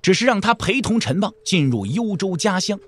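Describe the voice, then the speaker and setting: A man narrating an audio drama in a measured, literary storytelling style. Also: high-pitched, sing-song.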